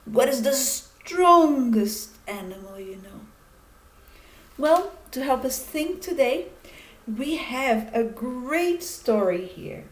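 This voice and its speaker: A woman teacher reading a children's story aloud in a deliberate manner, speaking directly to young listeners. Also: sits high, lively intonation, rough recording. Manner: deliberate, addressing children with questions.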